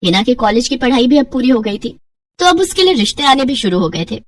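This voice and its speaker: A woman's voice: a little high, very animated, a little fast, distant mic. A woman narrating a scripted moral story as a storyteller.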